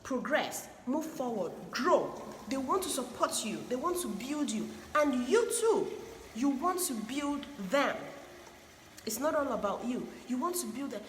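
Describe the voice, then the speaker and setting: A woman with a fairly high voice talks in an emphatic, preachy way and circles back to drive each point home. Her voice rings through a roomy space with a long reverb tail, and a faint hiss sits behind it. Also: very animated, measured pace. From Igbo land, Nigeria. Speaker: relationship advice speaker. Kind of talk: earnest advice monologue.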